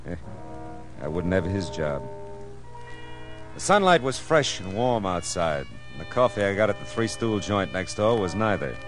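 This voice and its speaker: An American actor playing a wry, world-weary private detective in a clipped, hardboiled 1940s radio style. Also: male voice, low-pitched, big pitch swings, moderate pace. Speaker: lead actor and narrator. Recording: old radio show with music.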